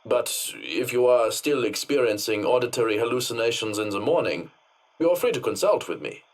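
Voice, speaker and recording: An actor playing a stoic, formal head butler and former doctor, speaking in a clipped, dry, condescending manner. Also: male voice, medium pitch, lively intonation, normal speed. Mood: dryly dismissive.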